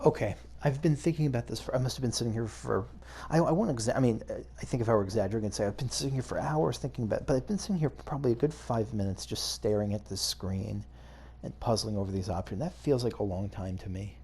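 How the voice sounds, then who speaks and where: A man's voice: on the low side, fast talker, hardly any pauses, mellow, breathy edge. A man giving casual video game playthrough commentary, hesitantly thinking aloud as he goes. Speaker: gaming video host.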